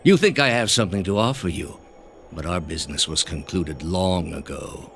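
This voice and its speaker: An actor playing a cold, pompous male grandmaster of an ancient zealous order in a video game, speaking deliberately and with condescension. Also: deep voice, lively intonation, fairly bright tone, a little hiss or hum. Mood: coldly condescending. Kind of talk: dramatic video game dialogue.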